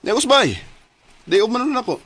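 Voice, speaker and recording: A man's voice: extremely high pitch, animated, racing. A man playing a lovestruck young man in a radio drama, hesitant and stammering as he hides his feelings. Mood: surprised, casual. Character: lovestruck young man hiding feelings for best friend's girlfriend. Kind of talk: radio drama acting in Cebuano. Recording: clearly noisy radio drama recording.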